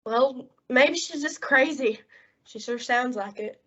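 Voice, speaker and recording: A woman's voice: sits high, takes it slowly, a bit bright, husky, full character voice. A woman acting an outspoken, concerned frontier friend in an old-time radio western drama. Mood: concerned, wry. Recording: dull, lo-fi audio.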